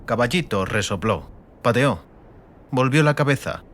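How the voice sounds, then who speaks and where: A man's voice: medium pitch, expressive, a little slow, fairly bright tone. A man with an accent from Spain, narrating a fantasy audiobook in a dramatic scripted style. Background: music.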